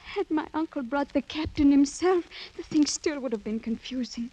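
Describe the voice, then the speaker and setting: A woman's voice: sits high, normal speed, mellow, muffled recording, lightly acted. An actress playing a proud, demure young French noblewoman in a radio drama, speaking formally and earnestly with dignity. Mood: troubled, hesitant. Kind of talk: 1940s radio drama acting.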